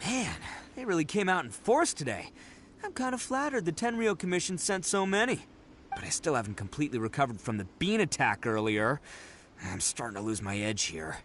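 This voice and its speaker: An actor playing a brash, big-hearted young oni gang boss in a video game, boisterous, slangy and cocky, with music behind. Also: male voice, a little high, sing-song, brisk, a bit bright, slight background noise. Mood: sheepish.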